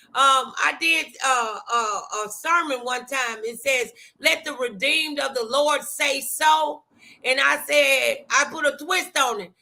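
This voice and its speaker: A woman's voice: high voice, gravelly, bright, forceful tone. A middle-aged woman talk show host chatting motivationally, emphatic and preacherly, repeating phrases to drive them home.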